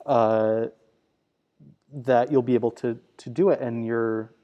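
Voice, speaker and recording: A man, a minister, asking and answering questions in a live church conversation, speaking in a hesitant, halting way. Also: medium pitch, steady tone, muffled recording.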